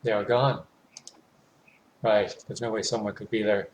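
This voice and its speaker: A man with a lowish voice narrates a horror visual novel in the first person. His intonation is very flat, hushed and measured, with pauses between phrases. Faint noise sits behind the home recording.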